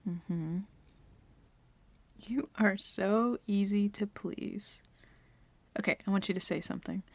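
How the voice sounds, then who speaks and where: A woman playing a teasing, bossy young dominant girlfriend in an intimate, soft-spoken ASMR roleplay, often dropping to a whisper. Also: mid-range voice, vocal fry, no room sound.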